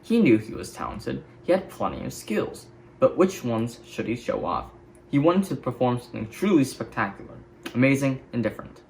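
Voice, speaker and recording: Male reader for young children's storybook, expressive intonation, mid-pitched. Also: moderate pace, vocal fry, slight background noise, poor audio. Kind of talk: scripted children's story narration.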